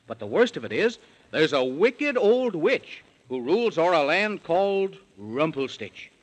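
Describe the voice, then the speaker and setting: A man's voice: fairly high pitch, sing-song, measured pace. A man narrating a 1930s radio children's serial in rhyme, with a theatrical sing-song storytelling delivery.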